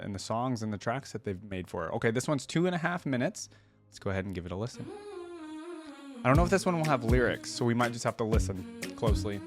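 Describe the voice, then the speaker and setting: A man's voice: on the low side, dry recording. A man giving casual reaction commentary, talking informally and hedging as he thinks aloud. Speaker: video creator reacting to music.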